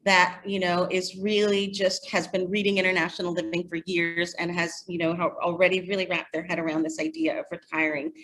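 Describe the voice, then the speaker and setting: Bright-voiced woman being interviewed. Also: fairly low pitch, level tone, a little fast, few pauses, low-quality recording. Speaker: interview guest, magazine editor. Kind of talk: casual conversational interview answers.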